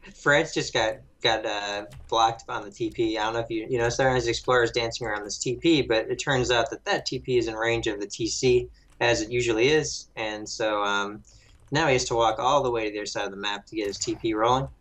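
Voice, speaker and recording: Fast-talking young male co-caster. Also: mid-range voice, fairly even intonation, a bit bright. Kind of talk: live game commentary.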